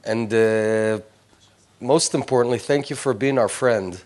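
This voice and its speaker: Israeli man in his 40s, english isn't his first language, lots of uhs and restarts, mid pitch. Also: unhurried, darkish tone. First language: Hebrew. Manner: hesitant, many fillers and restarts. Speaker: speaker addressing visiting group. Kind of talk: informal personal talk.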